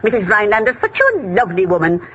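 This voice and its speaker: A woman's voice: mid-range voice, sing-song, a bit bright. An actress playing a vain, sentimental older woman with an Irish accent, gushing theatrically in a vintage radio comedy. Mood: wistful, sentimental. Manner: gushing, theatrical, quick to bristle. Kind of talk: 1940s radio comedy acting. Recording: very noisy, muffled 1940s radio recording.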